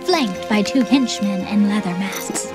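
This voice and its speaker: A woman's voice: mid-range voice, a little slow. A woman reading scripted, dramatic audiobook narration. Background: music.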